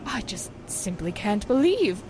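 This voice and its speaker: A woman's voice: medium pitch, very animated, a little slow, background noise. A woman playing a mild, trusting small-town housewife with a hesitant, earnest manner in a 1940s-style radio drama. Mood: puzzled, protesting.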